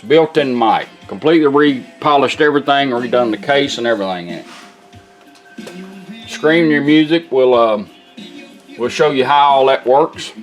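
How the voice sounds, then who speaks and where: Folksy guy doing a casual demo, explains stuff informally, some guitar music behind him. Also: mid-range voice. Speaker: radio restorer demonstrating a product. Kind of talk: casual product demonstration.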